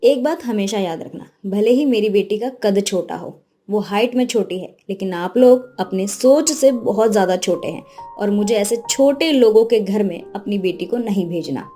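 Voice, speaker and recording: Loving, firm mother played by a woman, story cartoon: dark mellow voice, emphatic, soft music behind. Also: mid-range voice, lively intonation, normal speed, natural voice. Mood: indignant, firm. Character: protective, loving older mother, proud and firm. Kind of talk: animated moral-story dialogue.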